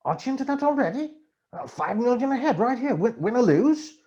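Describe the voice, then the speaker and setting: A male reader puts on an excitable, earnest male journalist from a storybook. The voice leaps high and swings widely, sounding eager and pleading with every phrase. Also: a little fast, muffled recording. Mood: flustered, flattering.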